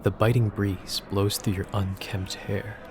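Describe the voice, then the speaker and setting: A man's voice: lowish voice, flat delivery, unhurried, noisy background. A young man from the United States, giving a scripted class speech in a casual, wry way with slangy asides. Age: young adult. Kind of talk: recorded speech, scripted but jokey.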